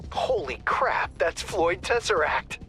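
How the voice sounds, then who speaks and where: A wry, joking game squad handler talking over comms. His voice is bright and forceful, with a widely moving pitch. Score music runs underneath. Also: takes it slowly, full character voice. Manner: wry, joking, lively delivery. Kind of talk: game cutscene voice acting.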